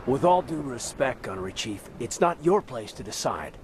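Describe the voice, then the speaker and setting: A dutiful young lieutenant played by a man in a game cutscene. The medium-pitched voice rises and falls expressively, earnest and respectful, over faint ambient noise. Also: sing-song, moderate pace, lightly acted. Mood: dutiful, firm. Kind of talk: video game cutscene acting.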